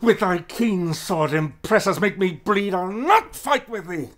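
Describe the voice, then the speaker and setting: Guy playing a bitter warrior king, high voice, slow theatrical shakespeare verse for radio. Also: animated, very noisy. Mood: grim. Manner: declamatory, theatrical.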